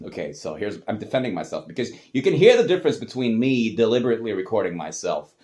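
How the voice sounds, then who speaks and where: A man's voice: fairly low pitch, fast talker. A man, talking casually and swearing freely as he mocks himself. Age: adult. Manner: animated, profane, self-mocking. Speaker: host. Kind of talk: casual commentary reacting to old tapes.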